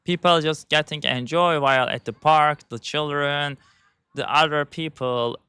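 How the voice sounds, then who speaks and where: A man vents in a halting, bitter monologue, starting over again and again. His voice sits a little high and rings bright and smooth. The sound is close and dry. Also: steady tone, dry recording. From Turkey. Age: 20s. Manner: bitter, ranting, halting with frequent restarts. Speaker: YouTuber. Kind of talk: personal vlog monologue.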